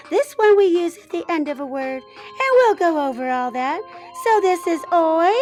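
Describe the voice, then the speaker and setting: A woman's voice: very high voice, a little mellow, heavily acted. A woman voicing a cheerful, chatty female teacher character who encourages young children through a phonics lesson.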